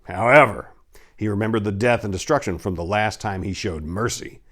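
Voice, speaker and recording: A man's voice: fairly low pitch, normal speed, a bit bright. A man giving a dramatic, grave reading of a scripted fantasy story, with a theatrical storyteller's delivery.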